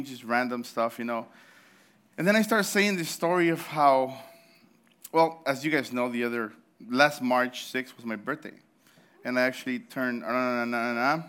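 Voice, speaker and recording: A man in his thirties preaching at a church service with an animated, storytelling delivery. He breaks into laughs and restarts his sentences, and his voice sits in a middle pitch. His throat is a little sore. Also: smooth. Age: 30s. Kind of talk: sermon.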